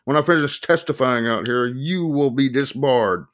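A man's voice: medium pitch, normal speed, a bit bright, voice pushed up, close to an everyday voice. Man acting a paranoid, frantic, ranting fugitive. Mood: threatening. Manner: panicky, profane, ranting. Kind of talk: audiobook character voice.

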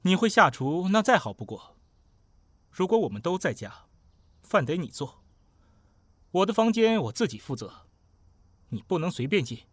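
A man acting an aloof, fastidious young housemate in an audio drama, speaking in a curt, commanding way. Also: high voice, big pitch swings, no echo. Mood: bossy, cool.

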